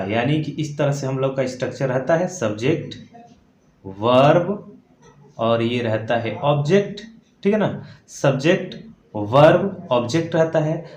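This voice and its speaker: A man's voice: mid-range voice, a little mellow. A man teaching step by step like a classroom grammar teacher, repeating points and often checking that listeners follow. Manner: repetitive, often checking understanding with tag questions. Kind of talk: classroom lesson explained step by step. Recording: echoey room with faint background noise.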